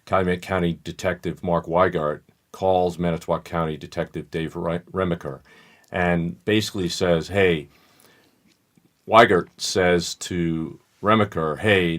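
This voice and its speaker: Very deep-voiced man explaining. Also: fairly even intonation, fairly bright tone. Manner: measured, explanatory, thinks aloud. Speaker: podcast co-host. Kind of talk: casual analytical discussion.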